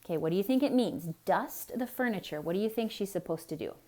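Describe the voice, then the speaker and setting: A woman storyteller reading aloud theatrically for children, pausing to explain things simply and ask questions. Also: medium pitch, animated, warm tone. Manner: explains simply, chatty asides. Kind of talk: theatrical read-aloud, asking young listeners questions.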